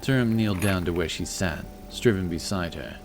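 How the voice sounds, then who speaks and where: A man's voice: low voice, moderate pace. A man reading scripted fantasy narration as a storyteller, with music behind his voice.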